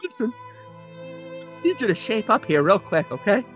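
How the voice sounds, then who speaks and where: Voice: mid-range voice, wildly swooping pitch, measured pace, faint music behind. A performer playing an aggrieved male landlord in a comedic audio play, rambling awkwardly in a whiny, exasperated way. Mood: stern, demanding.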